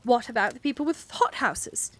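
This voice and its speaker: A woman's voice: mid-range voice, big pitch swings, normal speed, a bit bright. A woman voicing a prim, easily flustered middle-aged witch who snaps when pressed.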